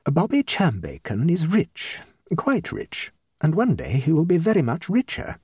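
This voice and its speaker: Storybook role: male reader as dramatic middle-aged hostess, anxious and effusive, swooping high, slightly muffled. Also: wildly swooping pitch, normal speed, darkish tone, husky, dry recording, studio quality, exaggerated voice. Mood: grave, imploring. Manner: emphatic, effusive, self-dramatizing. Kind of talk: comic short story reading.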